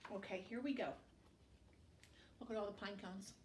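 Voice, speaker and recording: A woman storytime teacher reading aloud to young children in an expressive way, adding asides and questions for the listener. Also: mid-range voice, normal speed, slight background noise. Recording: echoey room, distant microphone.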